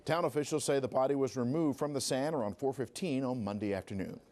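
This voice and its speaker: A male reporter reads a scripted news update from the newsroom. He moves quickly, at a medium pitch for a man. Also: darkish tone.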